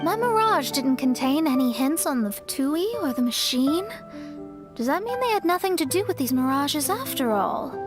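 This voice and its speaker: Elegant, analytical young female seer for a game, high register, poetic delivery. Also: big pitch swings, moderate pace, music in the background. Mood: pensive. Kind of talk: video game character acting.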